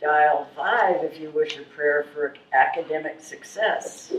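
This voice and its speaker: A woman's voice: deep voice, unhurried. A woman playing a formal prayer hotline menu announcer in a readers theatre performance. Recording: echoey distant stage mic, audience noise.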